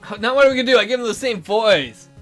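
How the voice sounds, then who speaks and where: A woman's voice: fairly high pitch, animated, very fast, fairly bright tone, faint music behind. A woman hosting a let's play, reading a visual novel aloud with playful, blunt, sweary casual commentary.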